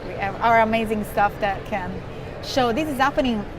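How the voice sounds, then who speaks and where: A woman's voice: a little high, moderate pace. A woman hosting a live stream interview, enthusiastic and reading viewer comments aloud. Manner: enthusiastic, reads chat aloud. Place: busy outdoor conference pavilion. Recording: crowd chatter and noise behind.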